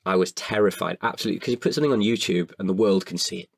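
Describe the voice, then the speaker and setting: A man with a creaky voice in a candid podcast conversation, speaking hesitantly and often correcting himself. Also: deep voice, no room sound. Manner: hesitant, halting with self-corrections. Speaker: writer-performer guest.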